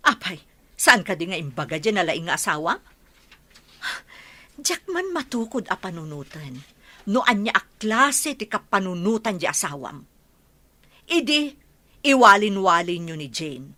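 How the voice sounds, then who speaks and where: A woman's voice: mid-range voice, big pitch swings, a little slow, pauses often, sharp tone, full character voice. A woman acting an indignant, scolding village woman in a radio drama, with an Ilocano accent. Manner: scolding, challenging with rhetorical questions. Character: indignant, scolding village woman who raised her brother's child.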